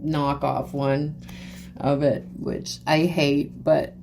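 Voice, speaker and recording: A woman hosting a podcast, talking in a relaxed, agreeable way in casual conversation. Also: low voice, slow, creaky, a little hiss or hum. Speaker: podcast host.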